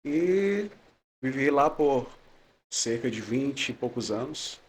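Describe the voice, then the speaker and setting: A young man from São Luís talks in a friendly, relaxed way, his Maranhão accent mixed with São Paulo speech. He laughs often and keeps adding little tags as he goes. A faint hiss sits behind his voice. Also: medium pitch, slow pace. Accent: Maranhão Brazilian Portuguese, mixed with São Paulo. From São Luís, Maranhão, Brazil. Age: young adult. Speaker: guest giving testimony. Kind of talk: relaxed podcast conversation.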